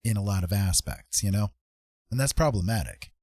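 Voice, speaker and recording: A man hosting a live stream in a casual, rambling chat, often pausing mid-thought and repeating his phrases. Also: lowish voice, animated, darkish tone, dry recording.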